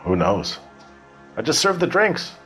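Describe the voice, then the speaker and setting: A man's voice: medium pitch, sing-song, measured pace, fairly bright tone, faint music behind. A man playing a guarded, working-class bar manager in a 1940s crime game, with a dry, offhand, evasive manner. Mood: offhand, resigned. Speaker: bartender. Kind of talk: scripted video game dialogue, 1940s crime drama.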